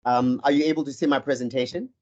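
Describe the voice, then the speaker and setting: A man's voice: mid-range voice, steady tone, quick pace, darkish tone. A South African man from Cape Town, a life coach giving an online presentation and introducing himself with frequent ums and self-corrections. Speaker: life coach, guest speaker. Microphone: video call. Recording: muffled video call audio.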